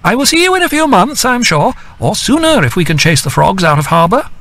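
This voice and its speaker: Man voicing a brisk, friendly woman officer, pitched high. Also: sing-song, a bit bright, full character voice. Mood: cheerful, brisk. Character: brisk, friendly female military aviator calling down. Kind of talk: audiobook character acting.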